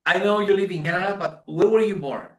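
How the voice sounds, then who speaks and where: High-pitched man in an echoey room. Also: quick pace. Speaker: interviewer, co-host. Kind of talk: casual joking chat, swearing.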